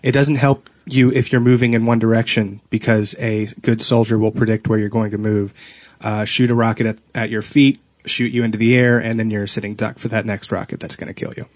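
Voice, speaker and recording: A man's voice: on the low side, flat delivery, fairly quick, no room sound. A man co-hosting a casual gaming chat, with a playful, teasing way of talking. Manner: playful teasing, joking with co-hosts. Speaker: podcast co-host.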